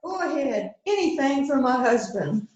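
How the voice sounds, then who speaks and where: A woman's voice: high voice, big pitch swings, normal speed, darkish tone, heavy reverb, lo-fi sound, slight background noise. A woman playing a courteous, composed housewife suspected of murder in an amateur mystery play. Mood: polite, innocent. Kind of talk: amateur readers theater mystery acting.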